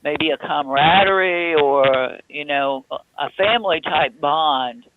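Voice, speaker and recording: A man talking slowly in a casual discussion, pausing often to search for his words. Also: a little high, no room sound. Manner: slow, halting, searching for words. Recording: tinny phone line, background noise.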